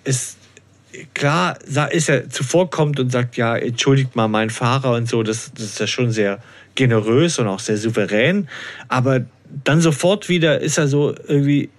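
A man co-hosts a podcast in a casual, conversational style. His voice sits at a medium pitch, and he talks colloquially, as if retelling a story to friends. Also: moderate pace. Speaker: podcast co-host. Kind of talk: casual podcast discussion of an audio drama.

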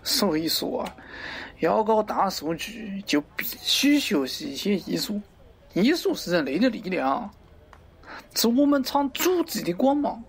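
A man's voice: sits high, sing-song, a little slow, gravelly, slightly rough, full character voice. A man playing a pompous middle-aged wholesale market director in a parody radio drama, avuncular and lecturing, crude yet fond of poetry. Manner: avuncular, lecturing, theatrical, abruptly swearing.

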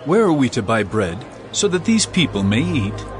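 An actor playing a calm, authoritative male teacher in a dramatized scripture reading, commanding and expressive. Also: mid-range voice, normal speed, lightly acted. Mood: calm authority. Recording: music bed behind voice.

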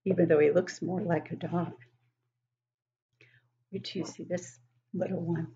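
A woman in her sixties reading a picture book aloud to young children, expressive and exaggerated, often putting questions to the listeners. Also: lowish voice, a little slow, muffled recording. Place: living room at home, indoors.

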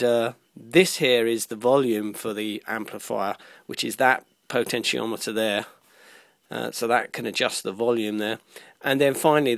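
A middle-aged man giving an informal hands-on tutorial in a halting, explanatory way, often correcting himself. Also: mid-range voice, fairly bright tone, dry recording. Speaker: electronics hobbyist demonstrator. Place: indoors at a workbench. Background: music playing from a small speaker at times.

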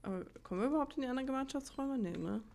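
A woman's voice: sits high, lively intonation, rapid-fire, gravelly, warm tone. A woman playing a video game, thinking aloud in colloquial asides and reading game text. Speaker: let's play host. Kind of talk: casual gaming commentary with read-aloud game text.

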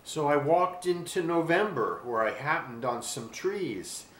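An older man reading a children's storybook aloud expressively and deliberately, pausing to describe things. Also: fairly high pitch, lively intonation, fairly bright tone. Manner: deliberate, with pauses to describe the pictures. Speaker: children's book reader. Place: small furnished room at home. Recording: roomy webcam sound with faint hiss.

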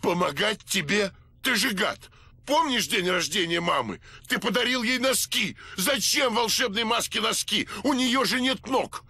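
A protective guardian spirit from a cartoon game, high and bright. It is bossy and stern, with very animated intonation. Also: male voice, measured pace, slightly rough, dry recording, heavily acted. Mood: exasperated. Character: bossy, protective good male mask spirit. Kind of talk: cartoon video game dubbing.

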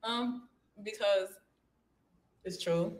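A young woman chatting casually on a podcast, talking in a flat, monotone way with little energy. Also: mid-range voice, drawn-out words. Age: young adult. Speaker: blind date guest. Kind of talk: casual chat. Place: small podcast studio, indoors. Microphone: studio microphone held close.

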